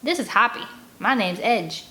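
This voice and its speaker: A woman's voice: medium pitch, animated, moderate pace, sharp tone. A woman voicing a friendly, concerned teenage boy calling out in a storybook read-aloud. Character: friendly, concerned sixteen-year-old country boy.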